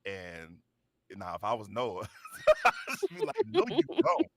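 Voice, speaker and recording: A man's voice: fairly low pitch, huge pitch swings, unhurried, background noise. A man chatting casually as a voice actor on an after-show panel, laughing often and stumbling over his words.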